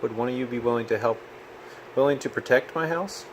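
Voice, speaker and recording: A man's voice: mid-range voice, brisk, pitched above the performer's own voice, natural voice. An earnest little hermit crab from a children's storybook, voiced by a man reading aloud. He is polite and a bit fretful, speaking expressively, with faint noise behind him. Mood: admiring, polite. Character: polite, fretful young male hermit crab seeking a home.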